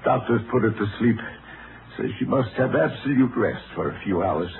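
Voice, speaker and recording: A man's voice: mid-range voice, lively intonation, moderate pace, natural voice. An actor playing a wealthy rancher and worried father in a 1950s radio drama, with emotive delivery. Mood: subdued, polite. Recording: tinny, noisy old radio recording.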